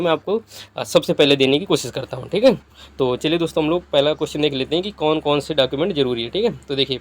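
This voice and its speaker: A man giving a casual, instructive explainer to online viewers, often checking that they follow with short tag questions. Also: a little high. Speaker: YouTube exam-guidance creator.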